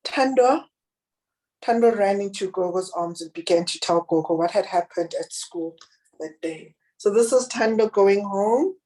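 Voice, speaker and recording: A woman with a deep, husky, raspy voice reading her own children's picture book aloud and chatting about it. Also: poor audio. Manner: gentle, unhurried reading aloud with picture commentary. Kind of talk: children's storybook reading, then casual chat.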